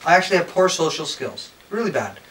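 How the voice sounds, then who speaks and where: A man's voice: a little high, very animated, fairly quick. A man in his thirties hosting a casual interview in an informal, bantering, self-deprecating way. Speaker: show host, interviewer. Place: indoor lounge with amps and instruments. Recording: distant mic, faint background noise.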